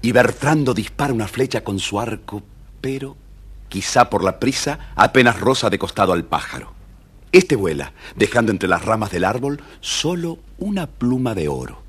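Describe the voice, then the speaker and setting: A man with a husky voice narrating a children's fairy tale dramatically, as a scripted storyteller. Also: fairly low pitch, big pitch swings, normal speed, fairly bright tone.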